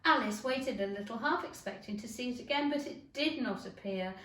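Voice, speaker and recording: A woman narrating a children's story in a measured, careful read-aloud style. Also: a little high, a bit bright, far from the mic. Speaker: storybook reader. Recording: echoey room.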